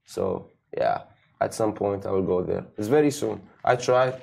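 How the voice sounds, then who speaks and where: Young Algerian-accented man, dark gravelly low voice, relaxed interview guest. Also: normal speed. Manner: relaxed, loose, frequent "you know" fillers. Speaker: rapper guest. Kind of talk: casual interview chat.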